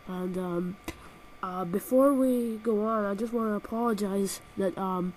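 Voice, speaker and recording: A woman's voice: medium pitch, unhurried, darkish tone, a little hiss or hum, rough recording. Nasal woman with a cold, sarcastic. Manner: sarcastic, exaggerated comic rants. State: has a cold. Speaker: game reviewer. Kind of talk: scripted video game review. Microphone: built-in laptop microphone.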